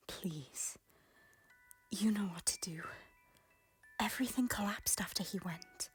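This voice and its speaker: A woman voicing a guilt-ridden former queen who pleads in breathy, often whispered lines. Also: mid-range voice, slow pace, fairly bright tone, soft background music, exaggerated voice. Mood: pleading. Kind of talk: fan audio drama acting.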